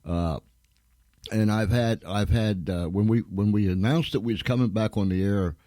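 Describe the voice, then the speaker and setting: Male radio host, low and mellow, casual, folksy and halting, very dry close sound. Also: dry recording. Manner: halting, folksy, repeats himself. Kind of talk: casual unscripted chat to listeners.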